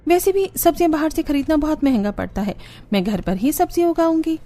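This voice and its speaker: Woman acting a raised-pitch, earnest young village daughter-in-law. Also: expressive, fairly quick, mellow. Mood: eager.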